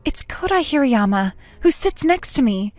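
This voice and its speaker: Woman voicing a high-voiced, lovesick teenage schoolgirl. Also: very animated, normal speed, a little mellow, no echo, soft background music. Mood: fond narration. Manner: emotive, self-doubting, hesitant. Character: sociable teenage schoolgirl, nervous and lovesick, earnest. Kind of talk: dramatic manga dub narration and dialogue.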